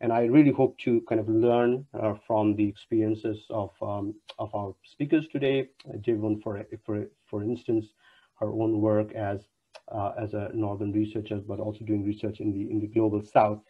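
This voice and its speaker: A man's voice: fairly low pitch, flat delivery, moderate pace, slightly rough. A man in his thirties from Nepal, a university lecturer introducing an online panel, speaking formally with many fillers and restarts. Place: home room on a video call. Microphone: wired earphone mic on a video call. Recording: dull lo-fi video call audio.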